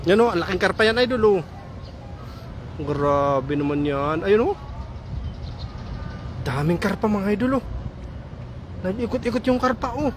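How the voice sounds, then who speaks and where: Excitable high-voiced man vlogging outdoors. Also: a little slow, pauses often, background noise. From Samar, Philippines. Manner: chatty, excited exclamations, calls viewers "idol". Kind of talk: casual walking vlog, talking to viewers. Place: outdoor park by a pond, daytime.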